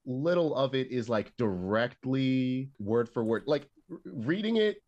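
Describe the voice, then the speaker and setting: A man's voice: mid-range voice, expressive, measured pace, darkish tone, no room sound, highs cut off. A young man chatting as a podcast guest, rambling with restarts and upbeat energy. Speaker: podcast guest, comic artist and animator. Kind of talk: casual chat.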